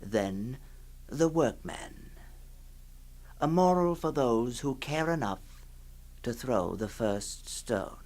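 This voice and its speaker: An actor playing a sly séance host introducing a radio horror anthology, hushed, sinister and teasing, with hesitant phrasing. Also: male voice, medium pitch, expressive, takes it slowly, long pauses. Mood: sly, inviting. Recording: 1960s radio drama with faint music.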